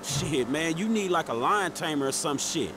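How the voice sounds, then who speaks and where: An actor playing a streetwise, wry young hustler in a video game, talking in casual, protesting slang. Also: male voice, on the high side, lively intonation, moderate pace, music in the background, close to an everyday voice. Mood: exasperated, amused. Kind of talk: naturalistic video game acting.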